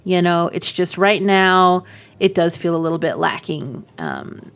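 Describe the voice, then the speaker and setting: Chatty woman with a slightly low voice. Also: a little slow, smooth. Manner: chatty, informal, enthusiastic, thinking aloud. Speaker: gaming video creator, host. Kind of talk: casual game preview commentary.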